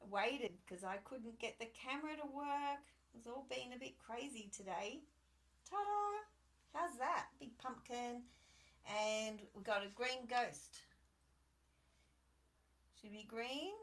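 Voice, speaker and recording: Bright-voiced woman hosting kids' crafts. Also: a little high, lively intonation, takes it slowly. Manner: chatty, encouraging, talks directly to young kids. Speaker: children's storytime host. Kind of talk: live craft demonstration for children. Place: at home on a live video stream.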